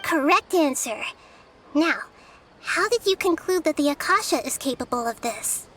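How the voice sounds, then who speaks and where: A woman voicing a small, girlish deity in a video game, a wise and gently playful teacher with a measured, explanatory way of talking. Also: high-pitched, expressive, slight background noise. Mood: instructive. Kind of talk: video game cutscene acting.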